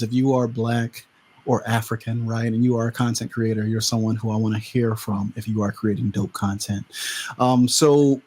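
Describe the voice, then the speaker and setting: A man hosting a casual interview, talking easily with many fillers and restarts. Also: medium pitch. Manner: relaxed, lots of fillers and restarts. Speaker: host.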